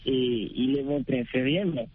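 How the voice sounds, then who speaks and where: A man's voice: mid-range voice, measured pace, husky. A man calling in to a radio show, answering hesitantly and repeating words as he searches for them. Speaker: caller. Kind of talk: phone-in call. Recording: tinny phone line.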